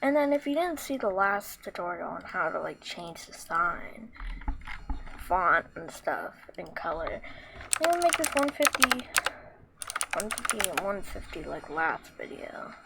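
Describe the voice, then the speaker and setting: A woman with a squeaky voice talking through a casual screen tutorial, rambling with lots of fillers and restarts. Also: big pitch swings, a little slow, vocal fry, noisy background. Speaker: tutorial maker.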